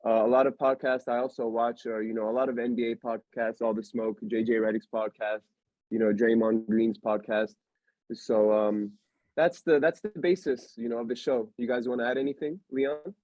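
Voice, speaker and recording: A man's voice: mid-range voice, fairly even intonation, normal speed, darkish tone, dry recording, dull audio. A young man from Pennsylvania hosting a podcast in a casual, rambling, slangy way with lots of fillers. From Allentown, Pennsylvania. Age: young adult. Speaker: podcast host. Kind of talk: casual chat.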